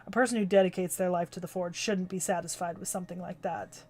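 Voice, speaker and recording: A woman voicing a gruff, proud older male swordsmith with a growling voice and curt, commanding delivery, reading a game's story aloud on stream. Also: lowish voice, steady tone, quick pace, darkish tone. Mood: stern.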